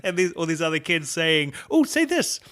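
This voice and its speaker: A man from New Zealand with a New Zealand accent, chatting casually on a podcast and telling stories in a cheeky, animated way. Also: on the high side, normal speed, clean tone. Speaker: guest co-host.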